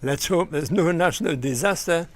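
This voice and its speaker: Man playing cheeky, higher-pitched junior salesman. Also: moderate pace, a crowd in the background, natural voice. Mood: mocking. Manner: cheeky, mocking. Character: cheeky junior British salesman, wisecracking and irreverent. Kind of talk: radio play sitcom comedy acting. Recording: very noisy with clicks.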